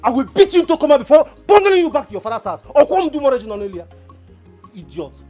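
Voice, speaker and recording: An actress playing a furious woman who shouts and scolds her uncle with threats. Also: sits high, sing-song, brisk, soft background music.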